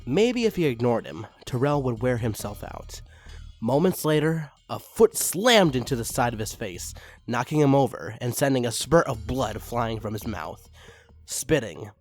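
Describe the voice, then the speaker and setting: A man's voice: mid-range voice, big pitch swings, measured pace, soft background music. A man reading fan fiction aloud as a dramatic story narrator.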